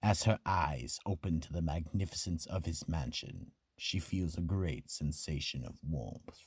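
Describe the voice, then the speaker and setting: A man playing an ominous narrator of a horror radio play, with a deep, gravelly voice. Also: unhurried, darkish tone, no echo, full character voice.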